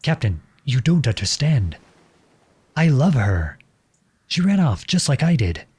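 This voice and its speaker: A man's voice: medium pitch, big pitch swings, a little slow, darkish tone, breathy edge, heavily acted. A man playing an impassioned, defiant young prince turned soldier in a scripted audio roleplay, with an earnest, dramatic delivery. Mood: urgent, pleading.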